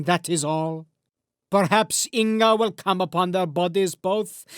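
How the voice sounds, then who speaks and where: A man playing a grave, weary old Norse warrior in an audiobook, speaking in solemn, measured, formal phrases. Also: sits high, smooth, no room sound, clean studio sound. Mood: weary, resigned. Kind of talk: audiobook character acting.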